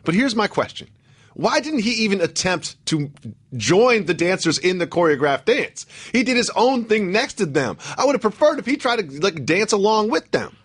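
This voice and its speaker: An American man co-hosts sports talk with playful banter. His husky voice runs fairly high and swings widely as he throws out rhetorical questions. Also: big pitch swings, normal speed, a bit bright. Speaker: sports talk show co-host.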